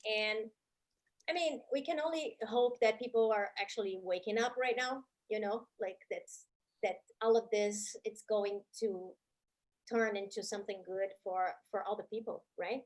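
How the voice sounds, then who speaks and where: A woman with a Brazilian accent hosting a live comedy show over a video call, animated and quick to break into a loud laugh. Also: mid-range voice, poor audio.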